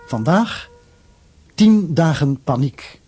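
A man announces the title and credits of a radio play in a measured, formal way. His voice sits a little high, and music plays clearly behind him. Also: expressive.

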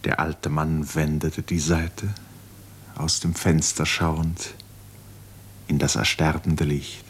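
A man with a deep voice narrates a radio play. He reads slowly and contemplatively, with measured literary phrasing that often settles into a hush. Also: level tone, breathy edge.

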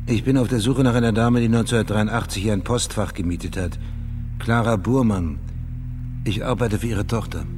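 An actor playing a blunt, dogged investigator in a radio crime drama, terse and matter-of-fact, with a husky, gravelly voice. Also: male voice, on the low side, fairly quick, over music, close to an everyday voice. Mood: businesslike. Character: dogged, blunt male investigator questioning witnesses and reasoning out a murder. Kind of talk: naturalistic German radio crime drama acting.

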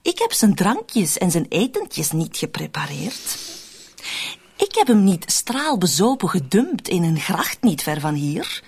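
An actress playing a cunning, cold woman in a radio drama, persuasive and controlled, with a husky, often breathy voice. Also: lowish voice, sing-song, a little slow, heavily acted. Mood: insistent, defensive. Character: cunning, cold, manipulative woman plotting to shift murder blame. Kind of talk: Dutch-language radio drama acting.

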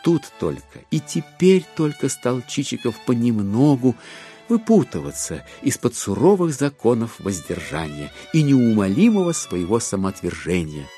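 A man giving an expressive, wryly ironic theatrical narration of a classic novel. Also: mid-range voice, moderate pace, soft background music. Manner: expressive, storytelling with wry irony.

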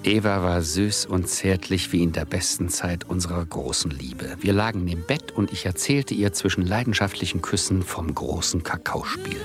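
An actor playing an excitable, boastful small-time speculator who tells his own story in a radio play, husky and theatrical. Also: low voice, normal speed, few pauses, over music. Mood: wry narration. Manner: theatrical, self-important. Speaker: narrator and lead actor. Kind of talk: 1970s German radio play, first-person narration and dialogue.

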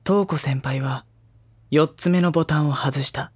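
A man playing a reserved, dry high-school boy who narrates softly and breathily in an audio drama. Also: a little slow, slightly rough, dry recording. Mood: hushed, restrained. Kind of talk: first-person narration in anime audio drama.

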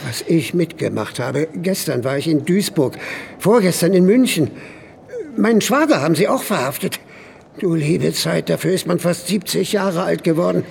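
An actor playing a frightened, hard-of-hearing old man in a radio play, talkative, loud and plaintive. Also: fairly high pitch, expressive, normal speed, creaky, slight background noise. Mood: agitated, plaintive. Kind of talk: German radio drama acting.

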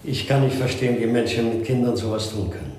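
A man's voice: mid-range voice, quick pace, warm tone, natural voice. A man playing a dismayed morgue attendant in a German radio crime drama. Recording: very echoey room, faint background noise.

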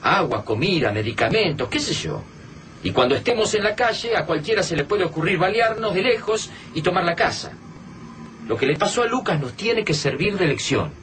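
Hard-headed argentine survivor, radio drama, swings up and down a lot, noisy behind. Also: male voice, high-pitched, very animated, normal speed, fairly bright tone. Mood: warning. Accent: Argentine, Rioplatense. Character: hard-headed, pragmatic male survivor urging escape.

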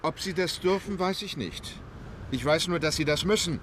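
An actor playing a composed, resolute English gentleman adventurer in an audio drama, speaking formally and politely. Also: fairly high pitch, moderate pace, a little hiss or hum, natural voice. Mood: insistent.

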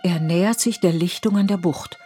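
A woman's voice: lowish voice, dark tone. Woman narrating a radio play over music. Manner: measured, poetic storytelling.

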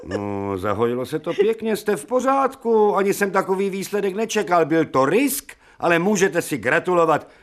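Brusque professor with lively, swinging intonation. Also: male voice, high-pitched, hardly any pauses. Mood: wry. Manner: curt commands, dry irony. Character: brusque, authoritative male medical professor examining patients. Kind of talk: radio drama acting.

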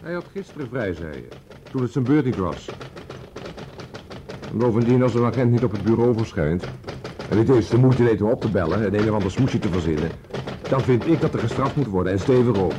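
A man's voice: fairly low pitch, lively intonation, a little fast, noisy background, lightly acted. An actor playing a self-righteous, grumbling policeman, pompous and indignant, in a radio drama.

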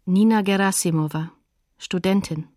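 A woman's voice: medium pitch, moderate pace, a little mellow, no echo, studio quality, natural voice. A woman announcing sources in a neutral, matter-of-fact way for a documentary radio play.

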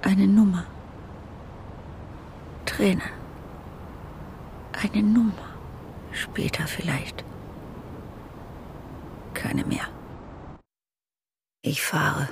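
A woman acting a weary, disoriented driver in a poetic radio monologue, speaking in fragmentary, halting, often hushed phrases. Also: mid-range voice, vocal fry, in a whisper. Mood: fragile, dazed.

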